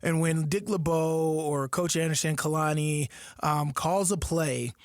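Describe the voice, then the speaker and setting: A man's voice: fairly high pitch, a little slow, dry recording, studio quality. A man co-hosting a sports radio show, talking casually and animatedly with lots of fillers and laughs.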